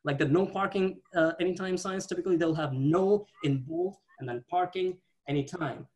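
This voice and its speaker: A man from India doing a stand-up set on a video call, talking haltingly with repeated words and dry punchlines. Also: high voice, darkish tone. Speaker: stand-up comedian.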